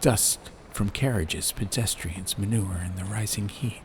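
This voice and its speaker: A man narrates an atmospheric audiobook in a low voice. He reads in measured short phrases, pausing between them. A faint bed of ambience sits behind him. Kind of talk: slow atmospheric scripted story narration. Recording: clear background ambient noise.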